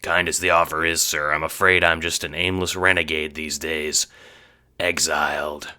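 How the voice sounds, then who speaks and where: A man voicing a gruff, touchy exiled lawman, a blustering and blunt lone wolf, in an audio drama. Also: extremely low pitch, level tone, moderate pace, pushed and bright, full character voice. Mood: respectful. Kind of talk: audio drama character acting.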